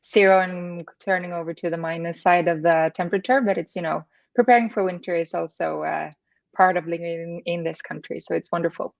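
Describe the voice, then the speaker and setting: A woman's voice: on the low side, steady tone, fairly quick, vocal fry, no room sound, highs cut off. A woman in her thirties from Norway with a Norwegian accent, giving conversational interview answers peppered with "you know". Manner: hedging, frequent "you know". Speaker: interview guest, startup accelerator CEO.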